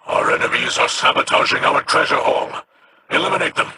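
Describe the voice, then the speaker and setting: A man's voice: brisk, noisy background, natural voice. An actor playing a solemn, formal male alien leader who gives grave commands.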